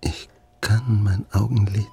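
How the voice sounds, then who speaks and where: An actor playing a paralysed patient's inner voice in a radio drama, hushed, halting and anxious. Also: male voice, lowish voice, steady tone, vocal fry, slight background noise. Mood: dazed, anxious.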